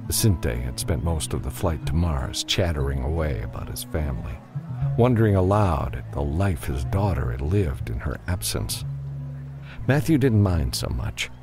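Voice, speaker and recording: Very deep-voiced man narrating a story. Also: very animated, moderate pace, music in the background. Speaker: audiobook narrator. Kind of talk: scripted dramatic narration of science fiction.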